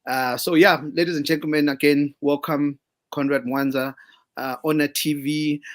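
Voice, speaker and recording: A man's voice: on the high side, steady tone, normal speed. A man with a Zimbabwean accent hosting an online interview show, formal and full of fillers and restarts. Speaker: host.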